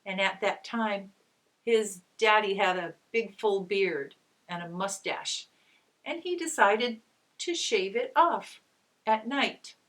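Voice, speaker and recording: Slow-paced woman reading to children. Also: medium pitch, a bit bright. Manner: simple phrasing, coaxing questions to young listeners. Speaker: storyteller and teacher reading aloud to children. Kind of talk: children's read-aloud with gentle guided discussion.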